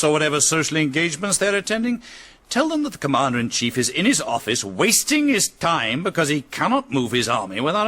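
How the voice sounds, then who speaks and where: An actor playing a brusque, sardonic aristocratic army commander in a radio drama, imperious and dry. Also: male voice, mid-range voice, bright, forceful tone. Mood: exasperated, sarcastic.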